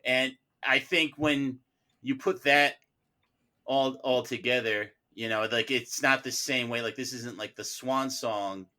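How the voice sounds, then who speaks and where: Male podcast panelist, casual film debate, sharp bright tone, animated and emphatic delivery. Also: medium pitch, unhurried.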